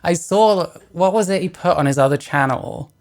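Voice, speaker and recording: Soft-trailing woman commentating. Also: on the low side, normal speed. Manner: hesitant, trailing off into quiet asides. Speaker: reaction video host. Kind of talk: casual commentary over clips.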